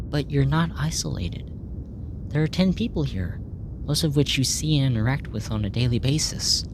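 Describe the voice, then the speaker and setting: A woman acting in a sci-fi ASMR roleplay as a polite, clinical alien researcher, speaking in a measured, formal, earnest way. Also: rumbling low, background noise. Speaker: audio roleplay voice actor.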